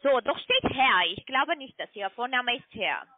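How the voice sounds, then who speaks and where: A non-native woman with a foreign accent working as a call centre agent, insistent and halting in her phrasing. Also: a little high, big pitch swings. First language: not German. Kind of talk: phone call with a customer. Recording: narrow, tinny phone line.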